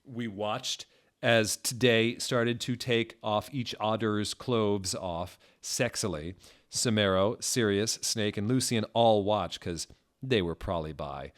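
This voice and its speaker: A man's voice: fairly low pitch, slow. A man narrating fan fiction as a comedic dramatic reading, in a theatrical, mock-serious manner.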